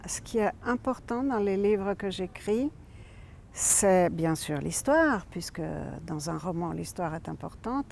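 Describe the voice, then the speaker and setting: A woman novelist from Rome, a native Italian speaker, presents her book in a measured, thoughtful way, often pausing on hesitant fillers. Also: medium pitch, gravelly, darkish tone. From Italy (Rome). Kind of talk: reflective spoken book presentation.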